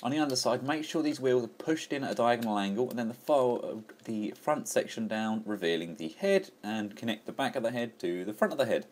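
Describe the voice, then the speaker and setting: A man's voice: mid-range voice, lively intonation, brisk, few pauses. An enthusiastic man chatting casually through a toy review, full of fillers and restarts. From England.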